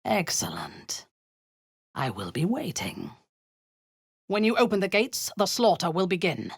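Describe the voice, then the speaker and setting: An actress voicing a ruthless drow woman commander who leads zealous raids, speaking in a cold, commanding voice with a husky edge that often sinks into a whisper. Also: on the low side, wildly swooping pitch, unhurried, dry recording, studio quality, heavily acted. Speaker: game character. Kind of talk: video game voice lines.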